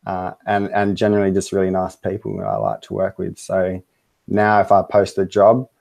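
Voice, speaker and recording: An Australian man talking casually as an interview guest over a video call, relaxed and full of fillers. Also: deep voice, normal speed, gravelly. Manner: relaxed, easygoing, lots of fillers and laughs. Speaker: interview guest, web design business owner.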